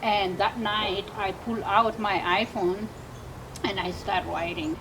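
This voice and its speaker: A woman's voice: medium pitch, steady tone, takes it slowly. A woman in her thirties with a South Sudanese accent, giving an earnest personal account as an interview guest. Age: 30s. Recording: clear background noise.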